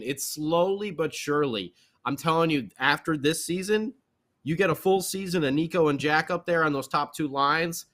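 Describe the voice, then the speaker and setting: A man chats casually about sports as a podcast co-host. His voice is bright and forceful, with very lively pitch movement. He talks in animated, slangy phrases like a fan among friends.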